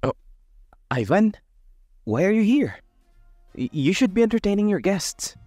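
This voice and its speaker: A man's voice: sits high, big pitch swings, measured pace, warm tone, no echo, faint music behind, full character voice. A man playing a sleazy, predatory rich playboy in a radio serial, with an insinuating drawl. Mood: startled. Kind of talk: radio serial drama acting.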